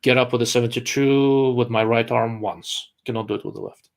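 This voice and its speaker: A man's voice: medium pitch, fairly even intonation, a little fast, gravelly. A man from Russia, hosting a live-stream chat in a rambling way, full of fillers and restarts. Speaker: host.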